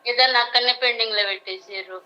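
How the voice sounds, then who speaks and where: Bright, high-voiced Telangana Telugu woman. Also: fairly even intonation, fast, muffled recording. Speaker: interviewee, surrendered former Naxalite. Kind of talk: phone interview, recounting grievances.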